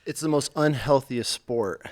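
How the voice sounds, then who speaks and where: A middle-aged man hosting a podcast in a studio, telling stories in an animated, casual way and swearing freely. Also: mid-range voice, a little slow. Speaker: podcast host. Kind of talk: casual chat.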